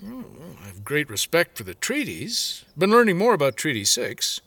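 A man's voice: on the high side, wildly swooping pitch, moderate pace, pushed and bright, breathy edge, dry recording, heavily acted. A middle-aged man playing an earnest landowner father who talks hesitantly, backpedalling with "well" and "uh".

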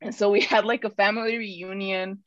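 A woman's voice: medium pitch, little pitch movement, normal speed, a bit bright, no room sound. A woman from Mexico talks as a podcast guest in a chatty, rambling way, with lots of restarts and fillers. Her voice sits mid-range and is recorded dry and slightly dull, with no room sound. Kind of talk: casual personal storytelling.

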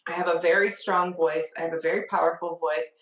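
Lower-voiced woman host in an echoey room. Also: fairly even intonation, fairly quick, fairly bright tone. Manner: open, reflective storytelling with frequent laughs. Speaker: podcast host. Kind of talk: casual interview chat.

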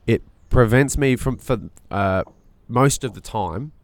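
A man's voice: medium pitch, a little slow, dry recording. A man with a nasal voice co-hosting a podcast, talking in an earnest, searching way with frequent restarts. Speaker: podcast co-host. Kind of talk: casual reflective chat.

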